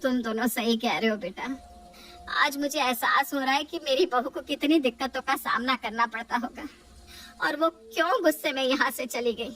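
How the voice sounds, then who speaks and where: Brief: a woman playing a proud aging mother-in-law, sharp-tongued, high-pitched, recorded from a distance with faint hiss. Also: normal speed. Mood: weary, remorseful. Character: stubborn older mother-in-law, proud then remorseful.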